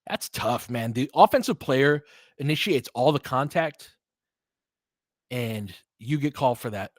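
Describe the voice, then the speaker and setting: Animated man calling a basketball game. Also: medium pitch, unhurried, dry recording, studio quality. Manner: clipped phrases, informal, reacts emotionally to plays. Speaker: play-by-play commentator and host. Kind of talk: casual, fan-biased live basketball commentary.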